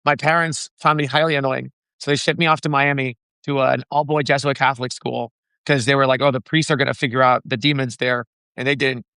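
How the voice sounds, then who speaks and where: A young man from the Dominican Republic, a founder being interviewed, talking in an animated way with frequent laughs and restarts. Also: mid-range voice, a little fast, smooth, dry recording. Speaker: startup founder, guest. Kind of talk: casual interview chat.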